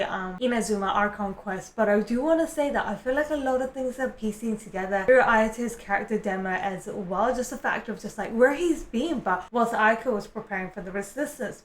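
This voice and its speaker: A woman's voice: medium pitch, a little hiss or hum. Gushing woman in a roomy space. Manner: gushing, expressive, with gasps. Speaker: reaction video creator. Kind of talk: excited casual reaction commentary. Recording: roomy, music playing behind.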